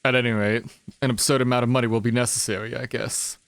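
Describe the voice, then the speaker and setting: A man's voice: mid-range voice, flat delivery, fast, creaky, a bit bright, no echo. Man voicing a cocky businessman. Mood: breezy. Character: smooth, cocky middle-aged businessman. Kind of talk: fan livedub of a visual novel.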